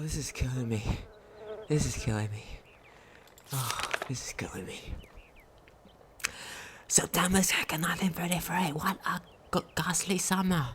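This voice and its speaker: A woman's voice: fairly low pitch, a little hiss or hum. A woman reading a diary aloud during a game playthrough, sometimes stumbling over longer words. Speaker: game commentator.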